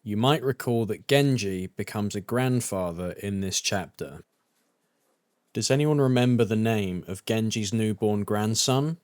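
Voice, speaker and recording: A man playing a patient, encouraging school teacher in a visual novel, speaking in a measured, instructive way. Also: fairly low pitch, darkish tone, clean tone, no echo, clean studio sound, close to an everyday voice. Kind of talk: visual novel character dialogue, classroom address.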